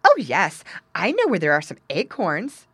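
A woman's voice: on the low side, wildly swooping pitch, normal speed, slightly rough, no echo, full character voice. A woman voicing a cheerful, confident young girl squirrel in a storybook, chattering in a chirpy, breezy way. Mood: helpful.

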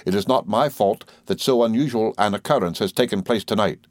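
A man's voice: lowish voice, no room sound, clean studio sound, natural voice. A man voicing a stern, arrogant husband who speaks with cold, pompous firmness in a storybook reading. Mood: magnanimous, stern. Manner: firm, pompous, cold, deliberate. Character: stern, tyrannical, arrogant husband, master of the house.

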